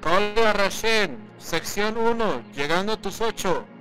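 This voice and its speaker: A man's voice: high-pitched, lively intonation, measured pace, a bit bright, faint music behind, voice pushed up, rough recording. A man voicing a loyal, wary male squad soldier in an audiodrama. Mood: reporting in. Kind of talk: audiodrama acting.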